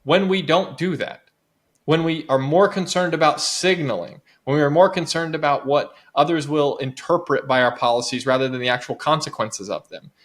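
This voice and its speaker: A man's voice: fairly high pitch, normal speed. A man appearing as a guest author, making an earnest, persuasive argument that builds its points through repetition. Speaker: guest author and advocacy director.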